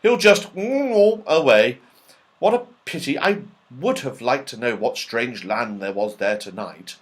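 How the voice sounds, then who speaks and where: A man voicing a sensible, anxious young girl in a children's storybook, earnest and expressive. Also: mid-range voice, slow pace. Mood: curious, disappointed.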